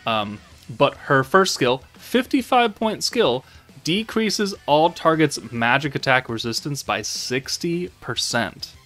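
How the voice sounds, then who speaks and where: A man's voice: a little high, sing-song, slow pace, a bit bright, soft background music. A man giving casual, informal game commentary as a channel host, rambling and thinking aloud as he goes.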